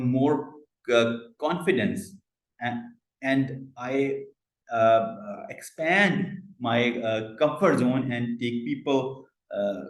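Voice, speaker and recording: Slow-speaking man who stammers. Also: mid-range voice, roomy sound, rough recording. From Pakistan. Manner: stammers, frequent fillers and repeated words. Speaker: meeting host. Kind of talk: hosting an online club meeting.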